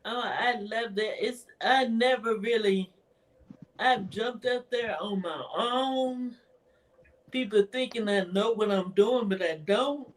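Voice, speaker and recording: Soft-spoken woman rambling slowly in an interview, sounds far from the mic. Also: a little high. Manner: hesitant, rambling, laughs often. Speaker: interviewee, author. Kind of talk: casual conversational interview.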